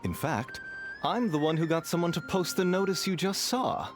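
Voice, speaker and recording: An actor playing a smug, courteous, scheming business dealer, suave and formal, in a video game. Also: male voice, on the high side, animated, normal speed, natural voice. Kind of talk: theatrical video game acting. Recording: game music behind.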